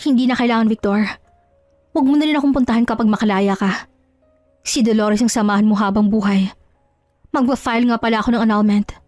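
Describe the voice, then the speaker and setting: A woman's voice: a little high, brisk, pauses often, a little mellow, dry recording, faint music behind, close to an everyday voice. A woman voicing a hurt, proud betrayed wife in an audio drama, scolding with scornful questions. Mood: bitter, firm. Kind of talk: Tagalog audio drama acting.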